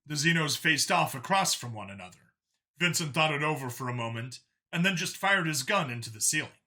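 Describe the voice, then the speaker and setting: Very bright, forceful male story narrator. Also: fairly high pitch, lively intonation, brisk. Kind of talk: scripted dramatic story narration.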